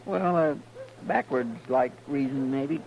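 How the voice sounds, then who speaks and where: An actor playing a good-natured, talkative Texan marshal's helper in a radio western, with a homespun Southern drawl and a deferential manner. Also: male voice, medium pitch, slow, dull audio. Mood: musing, easygoing. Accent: American Southern rural. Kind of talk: 1950s radio western acting. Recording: noisy old radio recording.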